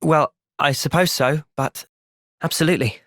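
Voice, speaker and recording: A man's voice: fairly high pitch, fairly even intonation, moderate pace, a bit bright, dry recording, studio quality, lightly acted. A young man with a British accent playing an earnest, thoughtful, polite and determined young hero in video game cutscenes. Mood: hesitant.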